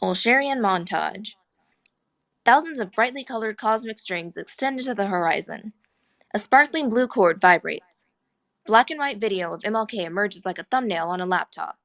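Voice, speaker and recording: A woman's voice: medium pitch, expressive, moderate pace, a bit bright. A woman reading scripted stage directions and scene headings as narrator at a screenplay table read.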